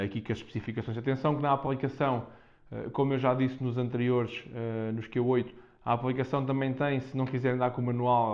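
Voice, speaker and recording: A man with a European Portuguese accent talks casually and unscripted to viewers as he presents a product, improvising loosely and repeating himself. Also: medium pitch. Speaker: vlogger presenting a product unboxing. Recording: dull, muffled lo-fi sound.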